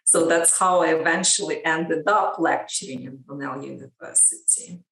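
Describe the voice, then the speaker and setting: A woman from Ukraine, a lecturer, giving measured, thoughtful interview answers. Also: sits low, vocal fry, a bit bright. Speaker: guest, education lecturer. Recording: roomy, distant mic.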